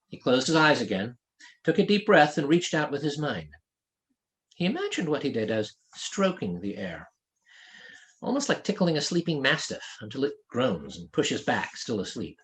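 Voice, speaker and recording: Man narrating fiction with lively, varied pitch. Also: normal speed. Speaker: author reading own novel. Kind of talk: scripted audiobook narration of a fantasy novel.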